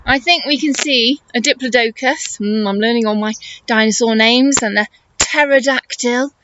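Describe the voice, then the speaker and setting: A woman teacher reading a story to young children, encouraging and expressive, asking questions and explaining as she goes. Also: on the high side, moderate pace, lo-fi sound, noisy background. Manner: encouraging, expressive, exaggerated intonation for small children.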